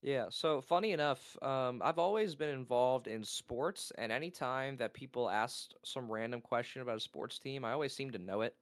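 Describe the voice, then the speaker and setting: A young man with a naturally loud, resonant voice tells his story in a relaxed interview. He rambles, gets excited and loses his place. The sound is dry and close. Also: mid-range voice, normal speed, few pauses, no echo. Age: young adult. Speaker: esports caster and college player. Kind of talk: casual interview talk.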